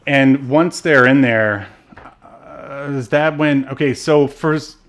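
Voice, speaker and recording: Wry, mid-pitched man chatting about film. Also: fairly even intonation, slow, a bit bright. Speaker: podcast co-host. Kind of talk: casual film discussion.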